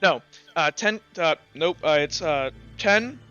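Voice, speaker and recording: Male game master, high bright voice, casual and halting, slightly muffled audio with music underneath. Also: animated, clear, resonant tone. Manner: halting, thinking out loud. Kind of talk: casual tabletop game talk. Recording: slightly dull, music behind. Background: background music.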